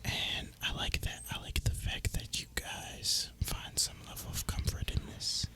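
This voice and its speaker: Man whispering a casual gaming ramble. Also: unhurried. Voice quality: breathy, unvoiced whisper. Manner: rambling, casual, relaxed delivery. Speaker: ASMR gaming video creator and host. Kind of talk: whispered ASMR gameplay ramble.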